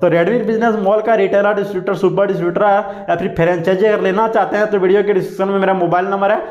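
A man's voice: sits high, fairly even intonation, racing, a little mellow, reverberant, highs cut off. A man narrating a phone screen-recording tutorial, listing app features one by one.

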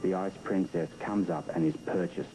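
A man's voice: low voice, fairly even intonation, moderate pace, mellow, natural voice. A man in his thirties acting in a TV soap as a methodical, cautious schemer, talking in a clipped, businesslike way. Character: methodical male schemer planning a covert switch, impatient and cautious. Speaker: soap opera actor. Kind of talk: television soap opera dialogue. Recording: noisy worn VHS tape of television.

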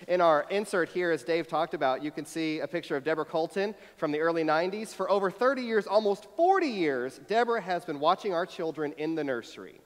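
A man's voice: a little high, lively intonation, a little fast, hardly any pauses. An American man in his thirties, a pastor giving a conversational, personal sermon with a reflective, storytelling manner at a church pulpit. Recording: long hall reverb from church sanctuary.

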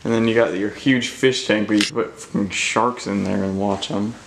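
A young man with a fairly low voice talks his way through an abandoned house, guessing aloud at rooms and going hushed when worried. The empty rooms ring around him. A steady noise sits under the camera audio. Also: normal speed, vocal fry, roomy sound. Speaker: urban explorer filming. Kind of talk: casual exploring commentary.

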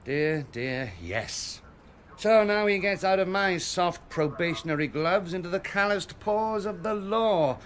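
A man's voice: fairly high pitch, lightly acted. An actor playing a weary, disappointed British probation officer in a radio drama, punctuating his talk with a fussy verbal tic. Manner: repeated "yes" as a verbal tic. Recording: radio drama with faint background noise.

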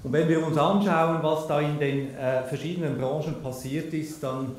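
A middle-aged man giving a conference keynote at a lectern microphone in a large lecture hall, measured and dry, with frequent hesitation fillers. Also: a little high, steady tone, darkish tone. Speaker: keynote speaker, company CEO. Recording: very echoey hall with faint noise.

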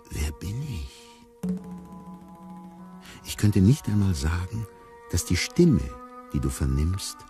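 An actor playing an enigmatic circus tiger in a radio play, musing on who he is in a halting, deliberate way. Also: extremely low pitch, gravelly, dark tone, exaggerated voice. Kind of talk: radio play narration addressed to the listener.

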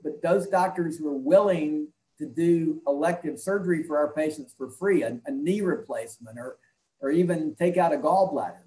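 A man in his sixties giving measured, halting answers as an interview guest over a video call from an indoor room. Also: fairly high pitch, a little mellow, reverberant, rough recording. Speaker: interview guest, health clinic leader and doctor.

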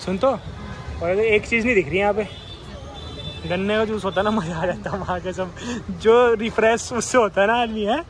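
A man's voice: high voice, normal speed. A chatty man vlogging casually as he walks around an outdoor market, often repeating himself. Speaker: vlogger filming a market tour. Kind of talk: casual street vlog chat. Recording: loud busy street noise, crowd and traffic.

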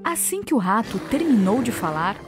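A woman's voice: a little high, very animated, normal speed. A woman narrating a scripted children's story. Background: music.

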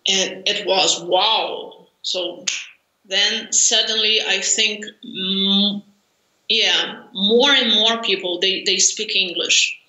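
Slow-speaking Brazilian woman on a video call. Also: mid-range voice, steady tone, bright, forceful tone. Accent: Brazilian Portuguese. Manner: thoughtful, laughs often, many fillers. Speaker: interview guest, language learner. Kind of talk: conversational interview. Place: home room, indoors. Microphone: video call webcam. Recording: roomy video call audio.

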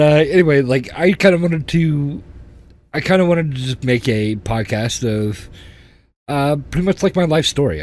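A man in his thirties hosting a podcast, telling his life story in a rambling, halting way with many restarts. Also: mid-range voice, darkish tone. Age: 30s. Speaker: podcast host. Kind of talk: casual personal storytelling.

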